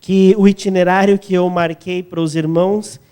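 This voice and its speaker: A man with a Brazilian accent interpreting a sermon phrase by phrase, sometimes halting as he searches for wording. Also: sits high, little pitch movement, mellow, clear, resonant tone.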